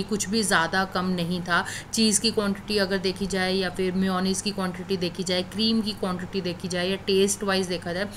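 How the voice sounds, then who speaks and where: Woman reviewer, mid pitch, chatty and enthusiastic voice-over narration. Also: a bit bright, slight background noise. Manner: enthusiastic, conversational, addresses viewers as guys. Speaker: food reviewer.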